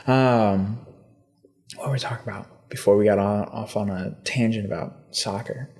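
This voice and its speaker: A man's voice: on the low side, fairly even intonation, moderate pace, darkish tone. A man in his thirties hosting a podcast, chatting in a laid-back, slangy way with lots of fillers. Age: 30s. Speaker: podcast host. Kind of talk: casual chat.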